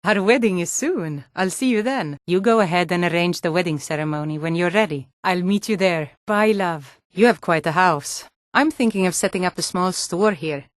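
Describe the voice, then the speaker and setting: An actress playing a sturdy, earnest Nord woman in a fantasy video game, with clear, deliberate delivery. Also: on the low side, dry recording, clean studio sound, lightly acted. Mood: affectionate.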